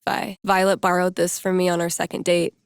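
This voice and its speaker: A woman's voice: on the low side, flat delivery, brisk, smooth, no echo, studio quality, natural voice. An actress playing a wry, teasing young woman in a video game, talking casually with playful sarcasm. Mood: wry, reflective. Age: young adult. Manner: casual, sardonic, playful banter. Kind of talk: naturalistic video game voice acting.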